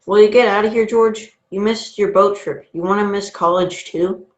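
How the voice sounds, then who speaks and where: A woman's voice: mid-range voice, fairly even intonation, moderate pace, lo-fi sound, close to an everyday voice. A woman playing a flustered, urgent small-town uncle in old-time radio theatre style. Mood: agitated.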